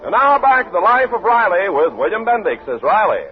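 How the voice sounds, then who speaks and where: Animated, high-pitched male radio announcer. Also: fairly quick. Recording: muffled 1940s radio recording with music.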